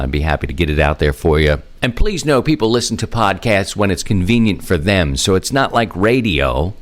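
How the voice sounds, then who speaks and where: Male podcast host, low voice, chatty and playful, wanders into folksy self-mocking asides. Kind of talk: casual radio-style chat and announcements.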